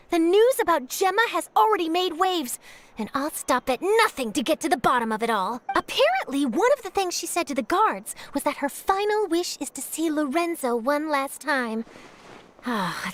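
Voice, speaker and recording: Chipper snoopy reporter girl, high and bouncy, always fishing for a story. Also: a little hiss or hum. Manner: brisk, nosy, relentless questioning. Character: young female news reporter, pushy and eager. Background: game music.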